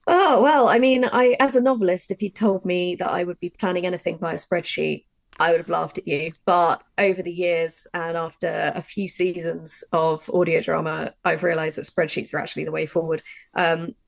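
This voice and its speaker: Woman with low, creaky voice talking shop. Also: steady tone, normal speed. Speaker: audio drama writer. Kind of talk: casual workshop discussion.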